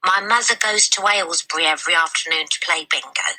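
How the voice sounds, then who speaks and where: A woman's voice: lowish voice, quick pace, bright, forceful tone, poor audio. A woman voicing a tiny bookish young girl, earnest and simple, in a children's storybook reading. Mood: quietly sad. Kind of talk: children's storybook read-aloud.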